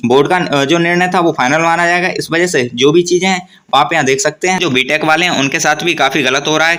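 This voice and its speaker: A man's voice: fairly high pitch, sharp tone. A man hosting an informal explainer for viewers, reading out notices and often restating his points. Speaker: host of an exam news channel.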